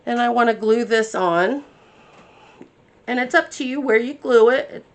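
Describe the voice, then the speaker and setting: A woman's voice: fairly high pitch, a little slow. Chatty woman crafting at her desk. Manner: chatty, thinks aloud while working. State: congested from sinus trouble. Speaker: crafting channel host. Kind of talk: casual crafting tutorial narration.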